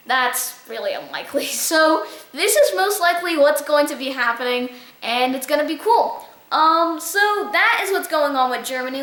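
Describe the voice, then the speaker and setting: A woman's voice: sits high, fairly bright tone, roomy sound. A woman hosting a casual weekly news review, chatty and loose, with frequent fillers.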